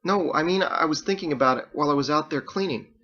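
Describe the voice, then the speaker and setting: A man acts out an awkward, defensive fellow in an audiobook. He sounds nervous and plain-spoken, pitched higher than the narration. The recording is slightly dull. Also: bright, forceful tone. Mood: explaining. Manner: halting, pleading, plain everyday speech.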